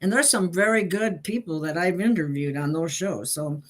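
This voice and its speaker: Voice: medium pitch, normal speed. A show host chatting casually, rambling and laughing often between remarks. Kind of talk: casual online chat.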